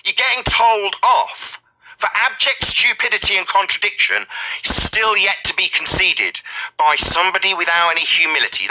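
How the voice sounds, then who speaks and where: A man's voice: a little high, bright, forceful tone, low-quality recording. A man berating an opponent in a heated debate, with a domineering, repetitive, scolding manner. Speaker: debate host.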